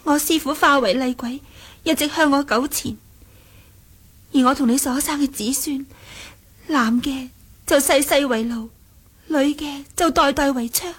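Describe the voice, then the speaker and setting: A young martial-arts heroine in a Cantonese radio drama, with a very high voice and Hong Kong accent. She is wounded and jealous, speaking to her fiancé with dramatic reproach. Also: a little slow, long pauses. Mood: grave, sorrowful.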